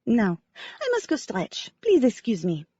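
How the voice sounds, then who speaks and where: A woman voicing a petite female ballerina who speaks in formal, halting English with stiff, careful phrasing, in an audiobook. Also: a little high, wildly swooping pitch, warm tone, husky, no room sound, highs cut off, full character voice. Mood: earnest.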